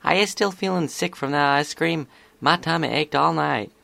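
Eager, caring girl pony for a storybook, raised pitch man doing the voice. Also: moderate pace, vocal fry, pushed and bright, no room sound, heavily acted. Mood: concerned, impatient. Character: caring, excitable young female pony filly.

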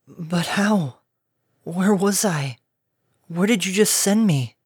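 A man's voice: sits high, takes it slowly, slightly rough, no room sound, studio quality, pitched above the performer's own voice, heavily acted. A man doing a trembling young pony girl. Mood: bewildered. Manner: trembling, bewildered, breaking into sobs. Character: young female pony, frightened and tearful. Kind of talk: storybook reading.

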